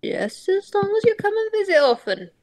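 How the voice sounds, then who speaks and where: A woman puts on a doting cartoon toon parent in a tabletop roleplay. The voice sits high and swoops around, with a halting, theatrical, openly emotional delivery. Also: big pitch swings, darkish tone. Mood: tearful, resigned.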